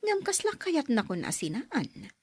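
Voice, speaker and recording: Clingy, scheming mistress, highly animated. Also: female voice, a little slow, breathy edge, no echo, heavily acted. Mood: bitter, scheming. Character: clingy, scheming mistress, young to middle-aged, demanding. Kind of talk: Ilocano radio drama acting.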